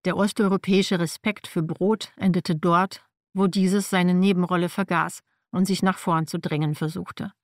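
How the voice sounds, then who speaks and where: A woman's voice: lowish voice, fairly even intonation, moderate pace, dry recording, studio quality. A woman whose first language is Slavic reads a personal nonfiction text aloud in a wry, matter-of-fact way. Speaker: narrator.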